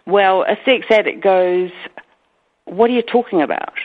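A woman's voice: medium pitch, a little slow. A woman with a New Zealand accent, a guest on a radio interview, talking earnestly with many restarts and fillers. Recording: tinny phone line.

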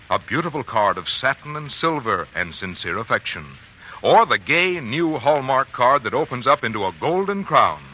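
A man's voice: medium pitch, bright, forceful tone, slight background noise, poor audio. A man working as a radio announcer, reading scripted 1940s commercial copy in a polished, earnest way. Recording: old radio broadcast, faint music behind.

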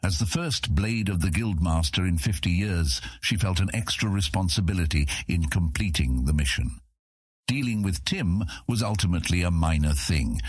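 A man's voice: low voice, lively intonation, moderate pace, slightly rough, dry recording, studio quality. A man narrating scripted fantasy fiction as an audiobook narrator.

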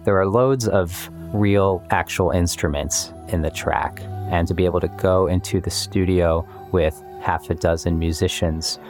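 A man's voice: low-pitched, fairly even intonation, measured pace, hardly any pauses, soft background music. A man speaking as a composer in an interview, reflecting on his work in a thoughtful, explanatory way.

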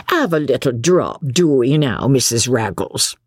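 A woman's voice: a bass voice, lively intonation, a little slow, breathy edge, no echo, studio quality, heavily acted. A woman voicing a drunken, mocking female cook in an audiobook, with coarse rustic working-class English speech. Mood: tipsy, sociable.

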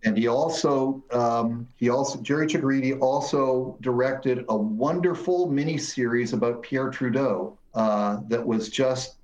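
An older man spins long, emphatic stories about film sets, doubling back and restarting his sentences. His pitch sits in the middle of the range for a man. The recording sounds dull and lo-fi. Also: a little slow, hardly any pauses, darkish tone. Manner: rambling, emphatic, with many restarts. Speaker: guest film producer. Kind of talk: anecdotal storytelling.

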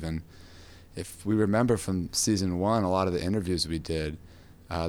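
A man hosting a casual podcast about guitar, his voice raspy from a cold, talking in a relaxed, rambling way with many fillers. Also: low-pitched. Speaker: podcast host.

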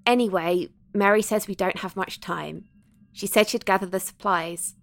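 A woman's voice: mid-range voice, fairly even intonation, normal speed, creaky, no room sound, natural voice. A woman playing a cautious, practical, sensitive ghost hunter in an audio drama, talking in a tentative, conversational way. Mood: brisk.

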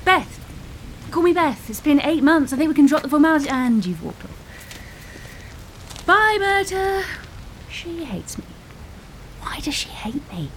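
A woman's voice: sits high, lively intonation, a little slow, long pauses, a little hiss or hum, poor audio. A woman playing a chatty, awkward boutique guesthouse host who rambles over-eagerly and mutters exasperated curses, in a naturalistic audio drama style. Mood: awkward, hurt. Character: flustered, chatty, awkward female boutique lighthouse-stay host.

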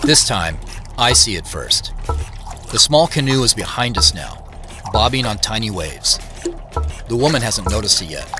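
A man's voice: lowish voice, sing-song, normal speed, long pauses, over music. An actor playing a troubled, weary younger brother who tells his story in an understated, reflective way in an audio drama. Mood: reflective, hushed. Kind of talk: first-person audio drama narration and dialogue.

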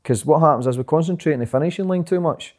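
A young man talking fast and rambling as an interview guest, swearing often and repeating "do you know what I mean". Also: on the high side, darkish tone. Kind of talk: casual interview chat.

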